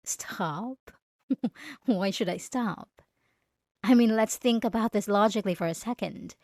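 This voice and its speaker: A woman's voice: mid-range voice, a little slow, darkish tone, dry recording, clean studio sound, close to an everyday voice. A young woman playing a jealous, condescending yandere student bully in an ASMR roleplay, speaking straight to the listener with sarcastic, taunting emphasis. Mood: controlling, menacing.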